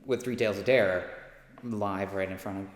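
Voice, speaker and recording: A man's voice: on the low side, steady tone, brisk. A man talking casually and with interest in a documentary interview. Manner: enthusiastic. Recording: echoey room with faint music behind.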